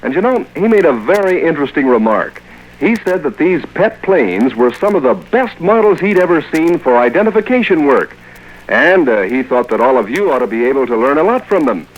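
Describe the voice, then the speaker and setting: An American man announcing and reading a chummy scripted sales pitch in 1940s radio style. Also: sing-song, a little fast. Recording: dull, band-limited old radio show record.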